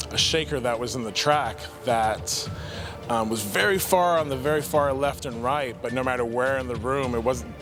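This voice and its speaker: Male technical director, mid-pitched, earnest and informal testimonial read as natural interview talk, music clearly underneath. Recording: music playing behind the voice. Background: background music.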